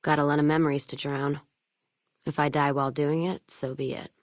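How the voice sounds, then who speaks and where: Actress as a hard-drinking caravan woman, low voice, blunt, sardonic, world-weary, video game dialogue, very dry close sound. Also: flat delivery, fairly quick, no echo, close to an everyday voice. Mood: weary, wry. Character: tough, hard-drinking, foul-mouthed, world-weary female caravan owner.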